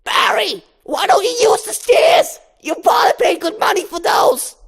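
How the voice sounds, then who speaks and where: A reader voicing a bee mother who shouts at her son from across the house in a very high voice. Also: animated, moderate pace. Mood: yelling. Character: shrill scolding bee mother.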